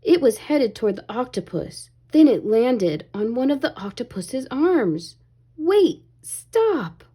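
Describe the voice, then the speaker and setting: A woman reading a children's story aloud in an expressive, animated way, as if to young listeners. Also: on the high side, unhurried, few pauses, slightly rough. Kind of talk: expressive read-aloud narration, pausing to ask children questions.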